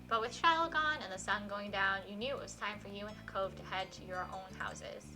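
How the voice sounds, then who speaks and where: Woman game streamer, bright forceful voice, animated casual read-along with faint music behind. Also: medium pitch. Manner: animated, reacts out loud to the story. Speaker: streamer playing a visual novel. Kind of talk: casual livestream chat and reading game text aloud.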